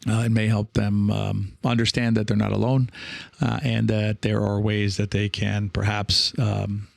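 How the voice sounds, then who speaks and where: A man hosting a podcast solo, explaining things conversationally like a coach, in a measured, careful way with pauses. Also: on the low side, little pitch movement, gravelly, dry recording, clean studio sound. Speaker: podcast host and pickleball coach.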